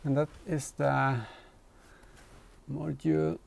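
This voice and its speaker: A man in his thirties giving casual, halting hands-on commentary as a vlog host, thinking aloud while he works. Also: on the high side, flat delivery, gravelly, very noisy. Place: inside a car in a workshop.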